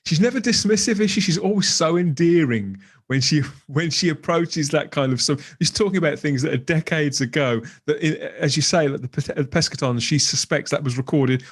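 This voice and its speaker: A man chatting casually as a guest on a discussion podcast. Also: mid-range voice. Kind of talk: casual chat.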